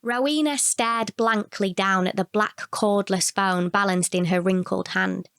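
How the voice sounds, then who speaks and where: Woman, scripted storytelling, medium pitch, close dry recording. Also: fairly even intonation, a little slow, fairly bright tone, smooth, no echo. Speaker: narrator, short story performer. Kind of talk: scripted short story narration.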